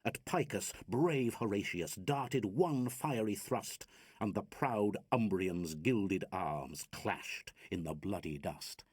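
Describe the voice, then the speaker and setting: A man reciting a narrative poem dramatically, in a measured, theatrical, declamatory way of storytelling. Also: lowish voice, lively intonation, darkish tone, husky, dry recording, studio quality. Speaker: reader of narrative poetry.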